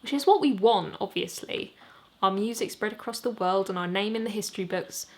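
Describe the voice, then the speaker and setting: A woman reading her own novel aloud in an expressive audiobook narration style, as its author. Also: medium pitch, fairly quick. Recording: steady background noise. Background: rain ambience.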